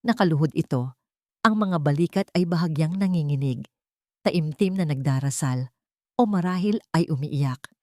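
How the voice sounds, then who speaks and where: A woman's voice: low-pitched, moderate pace, a little mellow, no echo, studio quality. A woman reading dramatic scripted fiction as a story narrator.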